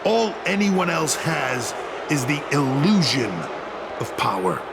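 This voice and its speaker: An actor playing an arrogant, menacing wrestling boss in a video game, laying down the law in a condescending, commanding way. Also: male voice, on the high side, big pitch swings, takes it slowly, gravelly, over a noisy crowd, heavy background noise, exaggerated voice.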